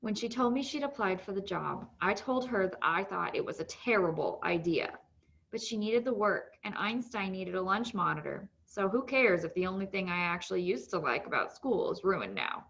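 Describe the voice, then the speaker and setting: A woman's voice: mid-range voice, expressive, moderate pace, vocal fry, fairly bright tone, lo-fi sound. A woman reading a children's novel aloud as scripted narration.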